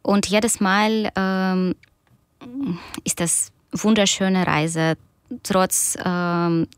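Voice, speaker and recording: A woman in her thirties whose first language is Russian, speaking German slowly and carefully. She gives considered answers, full of pauses and small fillers. The recording is close and dry, like a studio microphone. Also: lowish voice, level tone, smooth, no echo. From Ukraine. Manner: thoughtful, many pauses and fillers. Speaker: guest author and doctor. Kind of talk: radio interview answers.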